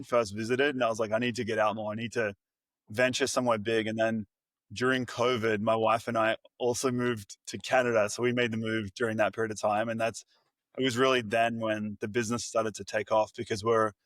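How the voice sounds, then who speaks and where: A man's voice: medium pitch, a bit bright, dry recording. An Australian man being interviewed as a guest, talking in a friendly, conversational way. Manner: friendly and conversational, says "mate". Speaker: podcast guest, business co-founder. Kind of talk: casual interview chat.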